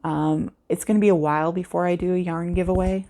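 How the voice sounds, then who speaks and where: An American woman chatting casually in an unscripted vlog, with a calming voice and a rambling, unhurried way of talking. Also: on the low side. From United States. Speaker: craft vlogger, host. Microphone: external microphone with a cell phone.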